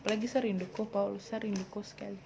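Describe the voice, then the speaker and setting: A woman's voice: medium pitch, steady tone, fast, vocal fry, dark tone, slight background noise. A woman in her twenties with a Papuan Indonesian accent, answering viewer questions in a blunt, teasing vlog and dropping in English words. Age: 20s. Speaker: vlogger. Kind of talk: casual Q&A vlog.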